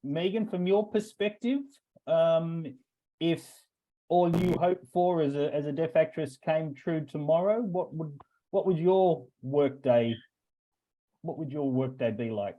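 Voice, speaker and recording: A man hosting an interview who asks questions haltingly and rephrases them as he goes. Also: on the high side, muffled recording. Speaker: festival director, interviewer.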